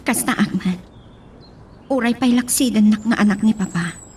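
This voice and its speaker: A woman's voice: a little high, moderate pace, darkish tone, a little hiss or hum, close to an everyday voice. A woman playing a devoted, defiant young sweetheart in a romantic audio drama, earnest and pleading.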